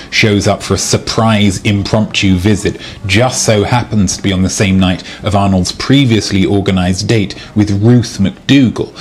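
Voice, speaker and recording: A lowish male voice, a man acting as a knowing date in a sitcom-style scene, calmly confronting his partner in long, orderly explanations. Some background noise sits behind him. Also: natural voice. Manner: explains at length in orderly detail. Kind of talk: acted comedic date dialogue.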